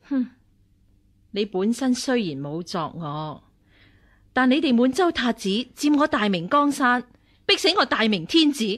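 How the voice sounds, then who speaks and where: An actress playing a stern, commanding Buddhist nun and martial arts master in a radio drama, speaking in an imperious, interrogating way. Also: female voice, a little high, expressive, measured pace, pauses often, fairly bright tone. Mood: accusing. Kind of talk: Cantonese radio drama acting.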